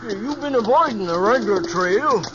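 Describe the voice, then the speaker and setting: An actor playing a nosy, shrewd old cowhand in a 1950s radio western, with a folksy American Western drawl. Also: male voice, high voice, animated, normal speed, noisy background. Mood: folksy, curious. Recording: muffled vintage radio, loud music bed.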